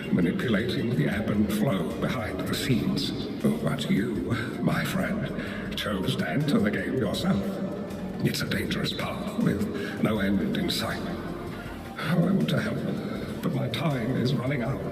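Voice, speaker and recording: Aging hermit master, solemn, wistful, game dialogue, distant in a long echo, music. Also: male voice, on the low side. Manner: solemn, philosophical. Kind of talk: scripted video game dialogue. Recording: distant, reverberant hall, loud music.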